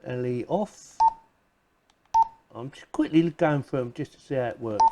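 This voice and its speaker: A man's voice: medium pitch, animated, unhurried, noisy background. A man presenting a casual hands-on gadget unboxing, chatting informally and thinking aloud as he goes. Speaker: video presenter reviewing gadgets.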